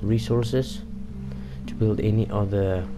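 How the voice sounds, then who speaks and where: A man's voice: fairly low pitch, fairly even intonation, slow pace, music in the background. A young man whose first language is Afrikaans, casually commenting over gameplay with many fillers and restarts. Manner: rambling, frequent fillers and restarts. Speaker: gaming YouTuber.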